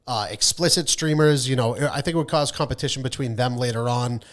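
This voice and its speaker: A man's voice: mid-range voice, brisk. A man co-hosting a podcast, explaining his opinions in casual conversation. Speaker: podcast co-host.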